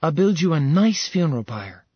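Determined young heroine, earnest and persuasive, read by a man, raised pitch, husky tone. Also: very animated, normal speed, no room sound, highs cut off. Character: determined young warrior woman.

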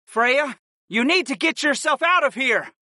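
A man playing a heroic, protective shapeshifter warrior in an audiobook, calling out urgent commands in a shout. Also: extremely high pitch, expressive, a little slow, no echo, clean studio sound, pitched above the performer's own voice, exaggerated voice. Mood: urgent, commanding. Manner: commanding shouts over a crowd. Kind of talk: dramatic audiobook character acting.

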